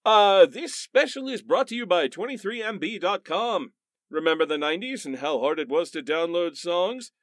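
A man's voice: sits high, lively intonation, moderate pace, a bit bright, no room sound, studio quality. A man playing a mock-dramatic announcer in a parody radio play and sponsor read.

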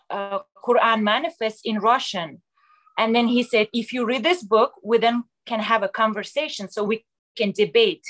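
A young woman from Kazakhstan speaks slowly and haltingly on a video call, pausing often with fillers and restarts. Her voice has a bright, forward tone. She is picked up by a laptop mic in a small room at home. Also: a little high, low-quality recording. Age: young adult. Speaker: guest sharing her story. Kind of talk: casual personal story on a video call. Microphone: laptop webcam mic on video call.

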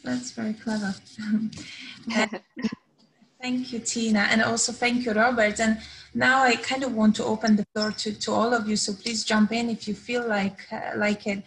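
A woman's voice: fairly high pitch, fairly bright tone, husky, poor audio. A woman moderating a panel on a video call, asking questions hesitantly with many fillers.

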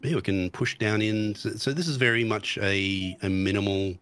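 A man's voice: fairly low pitch, normal speed, fairly bright tone, smooth. A man guest streamer chatting casually about his game, hesitant with restarts, recovering from tonsillitis. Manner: hesitant, many restarts and ums.

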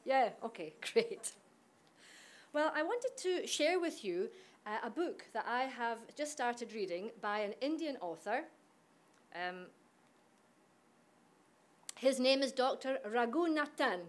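A woman's voice: fairly high pitch, animated, slow pace, pauses often. Scottish woman chatting from a podium. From Scotland. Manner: chatty, engages the audience directly. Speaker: university international officer. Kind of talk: conference presentation. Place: conference hall, at a podium. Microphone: podium mic.

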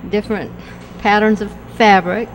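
A woman's voice: mid-range voice, fairly even intonation, background noise. Woman vendor talking about her crafts, stops and starts a lot, handheld mic outside. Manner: halting, searching for words. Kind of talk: casual chat. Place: outdoor patio at a train station shop. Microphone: handheld mic held by host.

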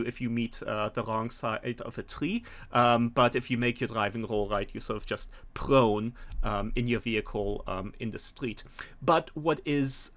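A middle-aged man narrating a tabletop game as game master, conversational and halting as he thinks it through. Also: mid-range voice, fairly bright tone. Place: home room, indoors, on a video call.

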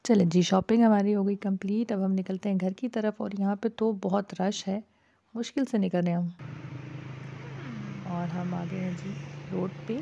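A woman's voice: mid-range voice, steady tone, moderate pace, gravelly, warm tone. A woman from Pakistan vlogging in a casual, chatty way, talking to viewers as she shops in a bazaar at night and then at home. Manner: chatty, addresses viewers with frequent "ji". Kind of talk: casual vlog narration. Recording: dull lo-fi phone audio with faint street noise.

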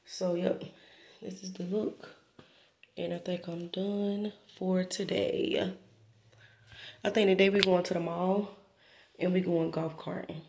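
A woman's voice: on the low side, fairly even intonation, slow, creaky, pauses often, a little mellow, slight background noise. A woman in her twenties chatting casually to her phone camera in a laid-back, slangy vlog. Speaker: vlogger. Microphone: handheld phone camera.

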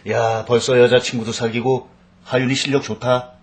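A man's voice: medium pitch, flat delivery, unhurried, lightly acted. A man in his thirties playing an easygoing, teasing father in a children's family drama. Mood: playful teasing. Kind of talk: naturalistic family drama acting.

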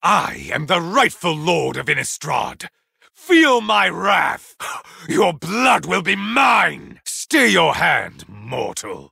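An ancient vampire lord for a video game, brooding and vengeful. His gravelly voice has a rough, hard edge and swings widely in pitch. He speaks slowly and formally, with imperious menace. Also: pushed and bright, no echo, clean studio sound, full character voice. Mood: furious. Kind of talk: theatrical video game character voice lines.

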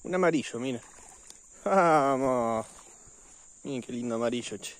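A man's voice: mid-range voice, rapid-fire, darkish tone, slight background noise. A man with an Argentine accent casually narrating an outdoor fishing vlog, chatting informally to his viewers. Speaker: fishing vlogger. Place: outdoors on a riverbank.

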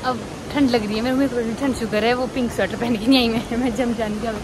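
A woman's voice: on the high side, fairly even intonation, fast. A young woman chatting and exclaiming on a travel vlog, filming herself with a phone at arm's length on a mountain trail. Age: young adult. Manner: chatty, exclaiming, laughing often. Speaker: vlogger. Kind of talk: casual travel vlog chat. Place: outdoors on a mountain road and trail. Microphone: phone held at arm's length. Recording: loud road and traffic noise outdoors.

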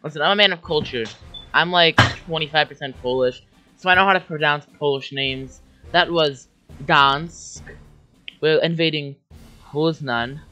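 A young man streaming a war strategy game from a desk at home, yelling at the game and putting on silly voices. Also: on the high side, moderate pace, lo-fi sound, faint music behind. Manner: yelling and screeching at the game, silly mock accents. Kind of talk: excitable gaming commentary.

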